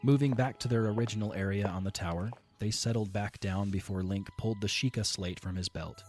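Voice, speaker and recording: A man narrating an audio drama in a steady, measured reading style. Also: on the low side.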